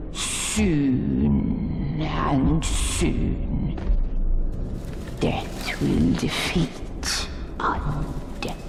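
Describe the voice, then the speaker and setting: A woman's voice: sits low, vocal fry, noisy background, full character voice. A woman voicing an ancient, wrathful forest spirit in a fantasy game, chanting solemn, cryptic riddles and commands. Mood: commanding, ominous. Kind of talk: cinematic fantasy game acting. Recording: echoey hall reverb under loud music.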